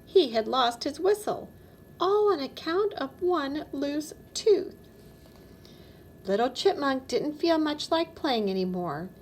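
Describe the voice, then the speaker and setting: A woman reads a children's story aloud in a high voice with lots of melodic movement. Her delivery is expressive storybook narration, recorded with faint noise in the background. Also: takes it slowly. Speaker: storybook reader.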